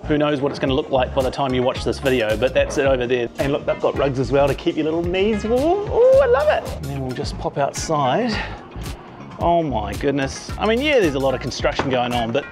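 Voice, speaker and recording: A man's voice: mid-range voice, very animated, fairly quick. Kiwi guy in his 40s hyping up food on a rooftop, handheld cam, background music going. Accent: New Zealand. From New Zealand. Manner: enthusiastic, chatty, exclaims over food. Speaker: food vlogger. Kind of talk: casual food and travel vlog. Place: rooftop bars, outdoors and under cover. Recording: music playing behind.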